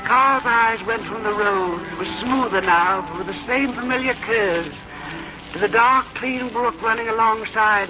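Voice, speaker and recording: Actress as elderly farm mother, fond, quietly determined, wistful storyteller, muffled old radio sound, loud music behind. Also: mid-range voice, lightly acted. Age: older. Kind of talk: 1940s-50s radio drama acting, first-person narration.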